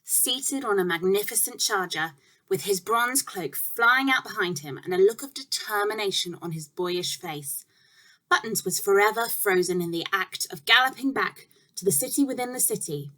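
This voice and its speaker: Woman reading a kids story out loud, mid pitched voice, expressive and measured, stresses the key words. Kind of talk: expressive children's storybook narration.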